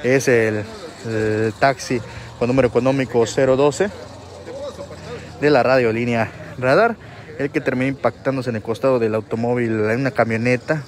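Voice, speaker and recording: A man reporting live from a street intersection, talking informally with many filler words. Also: medium pitch. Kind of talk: live on-scene news report. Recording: faint outdoor street noise. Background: street and traffic sounds.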